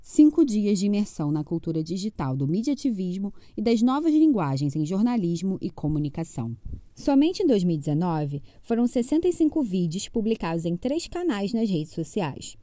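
A young woman reading a scripted presentation to camera in a steady, formal way. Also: medium pitch, brisk, dry recording, clean studio sound.

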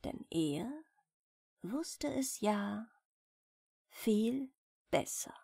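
Slow, gentle woman reading bedtime tales. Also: mid-range voice, creaky, breathy edge, no echo, clean studio sound. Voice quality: soft. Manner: expressive, unhurried storytelling. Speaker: audiobook narrator.

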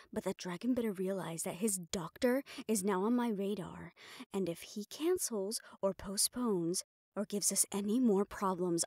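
A woman's voice: mid-range voice, no echo, close to an everyday voice. Woman acting a stressed, sweary mafia assistant, close and dry. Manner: exasperated, clipped, heavy swearing, sighs. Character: stressed, foul-mouthed, fiercely loyal female mafia assistant. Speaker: audio roleplay voice actor. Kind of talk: dramatic audio roleplay, close solo performance.

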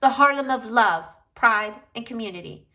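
A woman reading a picture book aloud in an expressive, deliberate storytelling style. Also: on the high side. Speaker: reader of a children's picture book.

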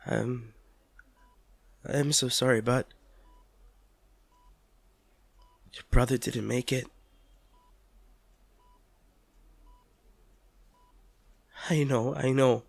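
A man playing an anguished, tender lover comforting an injured partner in a hospital audio roleplay, speaking haltingly and with emotion. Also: mid-range voice, gravelly, no echo. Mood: gentle, sorrowful. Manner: halting, stammering, emotional delivery. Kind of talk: audio roleplay drama, one-sided dialogue.

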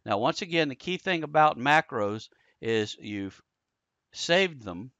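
Male instructor, conversational screen-share tutorial, step-by-step explaining, mid-range pitch, dry lo-fi sound. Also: animated, takes it slowly, a bit bright, no echo. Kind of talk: casual screen-share software tutorial narration. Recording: dull lo-fi close dry voice-over.

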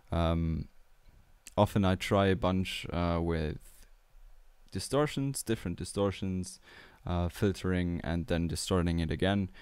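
A young man in his twenties from Austria with an Austrian German accent, walking through a music tutorial in a relaxed, hesitant way with many fillers, on a studio mic in a small home studio. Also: low voice, clean tone, no echo. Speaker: music producer. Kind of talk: tutorial walkthrough. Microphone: studio mic on boom arm with headphones.

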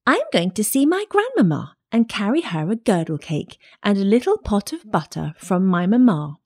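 Little country girl acted by a woman: high, swooping, sweet and naive, singsong storybook delivery. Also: big pitch swings, moderate pace, a little mellow, husky. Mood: innocent.

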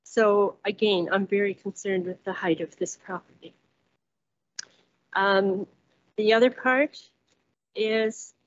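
Hesitant woman reading notes at podium. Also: medium pitch. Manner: hesitant, reads from notes with many ums. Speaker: resident speaking at public hearing. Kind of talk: public comment at council meeting. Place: council chamber, standing at podium. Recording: dull muffled council chamber recording.

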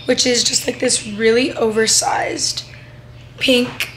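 An eighteen-year-old woman with a raspy voice, chatting casually to a handheld camera in her room and car, full of "like" and "um". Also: a little high, level tone, slow, background noise. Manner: chatty, lots of "like" and "um". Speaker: vlogger and singer. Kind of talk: casual vlog chat to camera. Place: small bedroom, then inside a car. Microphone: handheld camera close to face.

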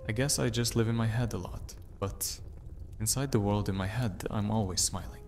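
A man plays a vampire prince in a fantasy audio drama. He is serious and brooding, with a fairly low voice over faint music. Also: moderate pace, a little mellow, lightly acted. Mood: guarded. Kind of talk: immersive audio drama roleplay. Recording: music behind the voice.

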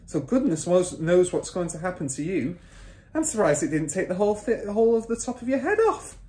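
A woman voicing a shrill, exasperated, scornful mother and wife from a children's story, shrieking and nagging. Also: big pitch swings, brisk, warm tone, reverberant. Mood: exasperated lecturing.

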